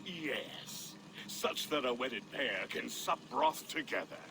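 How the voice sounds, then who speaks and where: Game role: superstitious riddling herbalist, unhurried, very animated, theatrical, over noisy ambience. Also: male voice, medium pitch. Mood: mysterious, testing. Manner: slow, theatrical, ritual phrasing. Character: whimsical superstitious rustic herbalist, speaking in riddles. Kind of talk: dramatic video game acting.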